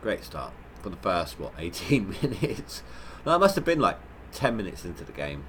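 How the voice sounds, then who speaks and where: Low-voiced man with a stuffy nose. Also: sing-song, normal speed, fairly bright tone, a little hiss or hum. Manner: rambling, stop-start, self-mocking. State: nasal from a head cold. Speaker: gaming livestreamer. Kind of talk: casual gameplay commentary.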